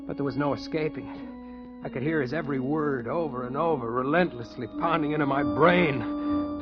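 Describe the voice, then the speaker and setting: An actor playing a fearful, haunted treasure diver, in dramatic old-time radio style. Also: male voice, medium pitch, close to an everyday voice. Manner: dramatic, tense delivery. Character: haunted, fearful male treasure diver telling his story. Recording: dull vintage radio recording with music behind.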